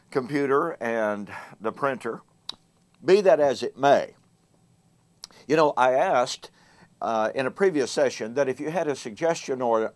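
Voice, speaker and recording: A man hosting a Bible teaching show. He speaks in a measured way, slowing down and growing louder to stress key words. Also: medium pitch. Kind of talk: solo Bible teaching talk to camera.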